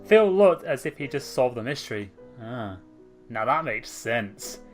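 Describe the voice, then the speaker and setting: A man's voice: medium pitch, very animated, measured pace, soft background music. Young man casually reading fan fiction. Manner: laughing, offhand asides. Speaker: story reader, channel host.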